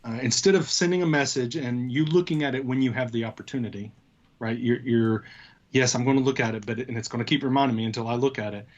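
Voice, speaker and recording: A man co-hosting a casual tech discussion, talking in a halting way as he thinks aloud. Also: medium pitch, fairly even intonation, muffled recording. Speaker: co-host of a tech show.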